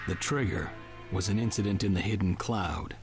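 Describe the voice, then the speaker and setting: Game role: man as level-headed adult ninja mentor, deep register, relaxed measured delivery, music bed. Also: close to an everyday voice. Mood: grave. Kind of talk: English video game dub acting. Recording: music under the dialogue.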